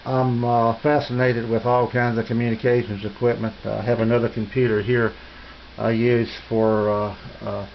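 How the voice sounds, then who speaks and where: An American man giving a casual, unscripted tour of his radio room in a halting, rambling, folksy and polite way. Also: mid-range voice, level tone, gravelly. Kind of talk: casual unscripted show-and-tell narration. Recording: dull lo-fi home video, faint noise.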